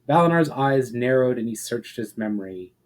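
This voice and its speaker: A man giving a dramatic reading of a fantasy story, with measured, expressive narration in the manner of an audiobook. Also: medium pitch. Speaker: author reading own story.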